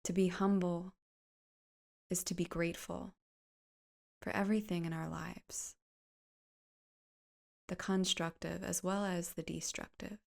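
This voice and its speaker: A woman leading a guided meditation in a soft, gentle, soothing way, with unhurried phrases that turn breathy at the ends. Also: lowish voice, little pitch movement.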